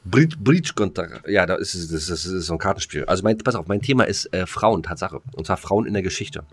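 A man with a German accent bantering casually as a podcast host, in a halting, rambling way that often stumbles. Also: deep voice, expressive, husky. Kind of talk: casual banter between friends.

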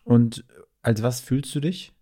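A man's voice: medium pitch, slow pace, warm tone. A man interviewing a guest as podcast host, informal and conversational. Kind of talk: casual interview chat.

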